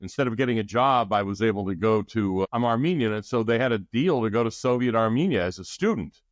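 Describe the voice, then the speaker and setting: A man's voice: on the low side, animated, fast, dry recording. Middle-aged man explaining, dry sound. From United States. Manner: thoughtful, explanatory with restarts. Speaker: guest expert. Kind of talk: podcast interview.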